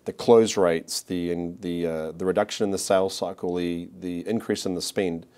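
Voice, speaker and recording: A man in his forties speaks with a New Zealand accent in a very deep, low voice. He answers questions in a corporate interview, pausing with fillers and restarting his sentences often. He is recorded seated on a studio set with a clip-on mic. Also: fairly even intonation, darkish tone. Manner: hesitant, many restarts and fillers. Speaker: marketing executive.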